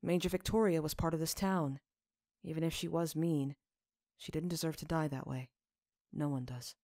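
A woman voicing a gentle, weary, earnest father in a story reading, speaking in a measured way. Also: low voice, steady tone, no room sound, clean studio sound.

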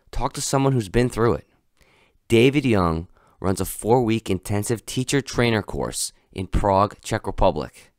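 A man's voice: on the low side, dry recording, studio quality. An American man hosting a podcast, reading an upbeat intro and asking interview questions. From United States. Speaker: podcast host.

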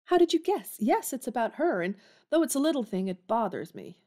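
A woman playing Jo, a spirited, blunt teenage girl in an amateur audio drama, talking quickly with sudden exclamations. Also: fairly high pitch, sing-song, dark tone. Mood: earnest.

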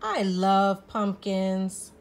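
A woman voicing an eager, curious young cat in a children's storybook, excitable and full of wonder. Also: mid-range voice, drawn-out words. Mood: excited.

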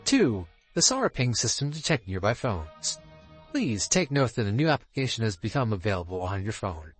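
A man's voice: on the low side, very animated, a little fast, faint music behind. A man voicing a solemn god of time and space, formally announcing the rules as game master in a visual novel. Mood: solemn, commanding. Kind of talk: reading visual novel dialogue aloud.

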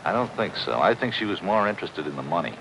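Cynical middle-aged diver, deep voice, swooping wisecrack delivery, mercenary, 1950s TV drama. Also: male voice, very animated, quick pace, noisy background. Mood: weary, cynical. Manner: sardonic, wisecracking. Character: cynical, mercenary, world-weary male deep-sea diver. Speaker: actor playing a salvage diver. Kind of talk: 1950s television crime drama acting.

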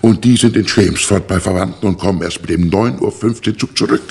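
An actor playing a testy, formal professor in a 1960s radio crime drama, with a gravelly, husky, hesitant delivery. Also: male voice, sits low, fairly quick, darkish tone, exaggerated voice. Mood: startled, disbelieving. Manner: formal, indignant, hesitant 'äh'. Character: testy, startled male professor with an organ.

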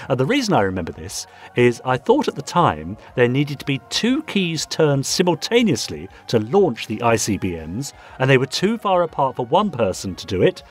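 A man co-hosting a podcast in casual chat and reading aloud, with a jovial, animated manner. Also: mid-range voice, husky. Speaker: podcast co-host.

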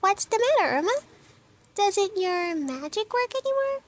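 Woman as a very high-pitched, mischievous schoolgirl. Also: sing-song, normal speed, a little hiss or hum. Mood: teasing. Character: mischievous, excitable young schoolgirl, gleeful teaser. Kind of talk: amateur comic fandub acting.

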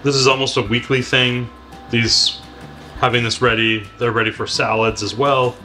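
A man's voice: mid-range voice, normal speed, faint music behind. A middle-aged man co-hosting a cooking show, chatting casually as he chops vegetables in a home kitchen. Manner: relaxed, explains while chopping. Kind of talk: casual cooking demo chat.

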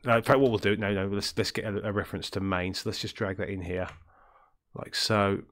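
Man with a deep throaty sick voice. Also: steady tone, a little fast, fairly bright tone. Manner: rambling, self-correcting, many ums and uhs. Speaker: game development tutorial host. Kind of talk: screen-recorded coding tutorial.

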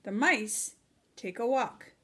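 A middle-aged woman reading a children's storybook aloud in an expressive, deliberate way for young listeners. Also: fairly high pitch, slightly rough.

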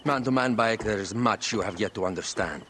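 A man's voice: on the low side, fairly quick, a bit bright, slight background noise, natural voice. An actor playing a wary, authoritative male agent in an ancient Egypt video game. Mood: stern, measured. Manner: blunt, authoritative.

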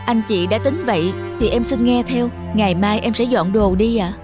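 A woman's voice: a little high, normal speed, close to an everyday voice. A woman voicing a meek, honest young peasant man in a folk-tale cartoon, polite and deferential. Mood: meek, dismayed. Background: background music.

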